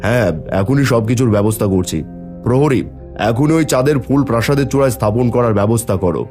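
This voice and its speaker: A man's voice: mid-range voice, fast, a little mellow, soft background music, close to an everyday voice. A man playing an older king and father with a commanding manner in a cartoon fairy tale.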